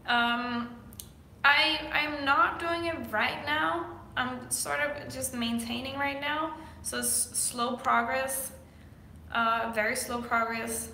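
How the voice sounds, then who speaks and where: A woman's voice: high voice, steady tone, slow pace, creaky, pushed and bright, slight background noise. A young woman from Denmark with a Danish accent, answering viewer questions as a fitness coach in a casual, chatty way with lots of fillers. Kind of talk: casual Q&A vlog. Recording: echoey room.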